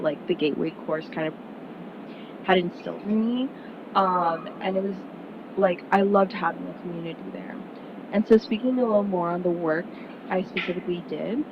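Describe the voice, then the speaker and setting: A young woman from Miami giving an earnest, conversational talk to prospective students, full of "like" and "um". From Miami, United States. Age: young adult. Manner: earnest, conversational, frequent "like" and "um" fillers. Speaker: student speaker at an information session. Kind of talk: casual presentation to prospective students. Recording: muffled sound with steady rumble noise.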